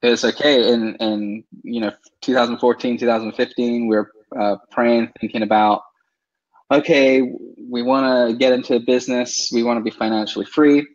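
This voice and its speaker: A man from England, a guest in a casual interview, talking with many fillers and soft laughs. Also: mid-range voice, steady tone, moderate pace, dull audio. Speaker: interview guest, online seller.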